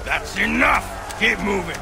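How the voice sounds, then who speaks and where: An actor playing a gruff prison guard in a video game, barking short orders. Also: male voice, high-pitched, lively intonation, a little slow, over music, a crowd in the background, full character voice. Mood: harsh. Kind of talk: video game cutscene acting.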